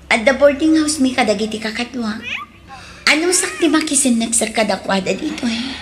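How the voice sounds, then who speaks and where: A woman's voice: on the high side, expressive, normal speed, slight background noise, close to an everyday voice. A woman with an Ilocano accent acting in a radio drama, playing a remorseful former lover anxious to make amends. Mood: ashamed.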